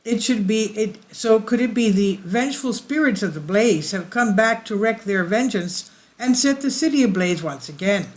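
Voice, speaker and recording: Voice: medium pitch, animated, moderate pace. A channel host reading a news article aloud with casual asides, sometimes stumbling over words and correcting them.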